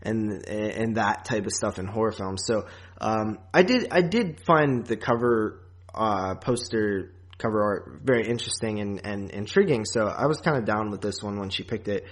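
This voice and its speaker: A man co-hosting a podcast, talking casually about films in a rambling, halting way. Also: fairly low pitch, lively intonation. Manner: rambling, halting, filler-heavy. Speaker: podcast co-host. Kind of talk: casual movie discussion.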